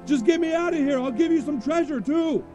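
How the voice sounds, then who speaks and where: A man's voice: very high-pitched, fairly quick, music in the background. A man voicing a frantic, greedy boy who babbles and stalls with fillers. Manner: babbling and hesitant, full of ums. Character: greedy, frantic boy hoarding toilet paper.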